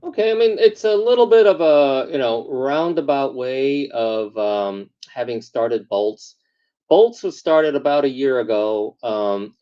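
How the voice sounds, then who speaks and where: A man's voice: medium pitch, mellow. Hesitant male founder on a muffled line. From Korea, raised in New York City. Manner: hesitant, many uh and um fillers. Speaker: tech company founder, guest. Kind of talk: interview answers on a remote call.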